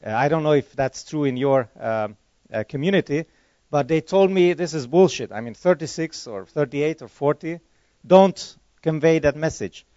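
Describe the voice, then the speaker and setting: A man's voice: fairly high pitch, animated, moderate pace, warm tone, dry recording. Man answering at a conference. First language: Hungarian. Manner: chatty, rambling, self-correcting. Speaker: conference speaker. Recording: dull lo-fi conference recording.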